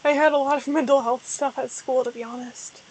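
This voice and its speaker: A young woman with a hoarse, tired voice, chatting casually and rambling as a streamer answering viewer questions. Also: high voice, fairly even intonation, fairly quick, a little mellow, rough recording. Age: young adult. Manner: rambling, self-deprecating, frequent restarts. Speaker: VTuber streamer. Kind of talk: casual livestream chat.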